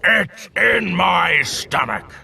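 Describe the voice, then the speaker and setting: Very gravelly, grumpy dragon. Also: male voice, high voice, expressive, very slow, exaggerated voice. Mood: irritated. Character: huge grumpy male river dragon, indignant and menacing. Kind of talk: animated children's story dialogue.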